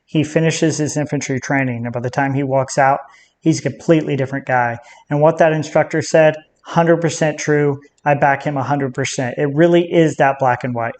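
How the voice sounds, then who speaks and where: An American military veteran hosting a reaction video, speaking in a direct, conversational way. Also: male voice, on the high side, fairly even intonation, normal speed, darkish tone. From United States.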